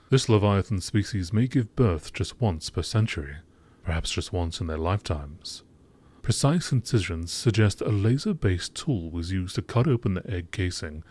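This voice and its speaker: A man with a low-pitched voice narrates in the manner of a nature documentary. He reads from a script, formally and with measured phrasing, like entries in a scientific log. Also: a little mellow. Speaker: narrator reading game lore entries.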